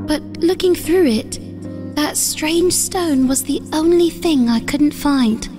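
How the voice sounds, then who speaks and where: A woman voicing a wistful, earnest young village girl who tells a sad tale in a soft, sorrowful way for a comic dub. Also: sits high, takes it slowly, music in the background. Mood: somber. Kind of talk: dramatic comic dub narration.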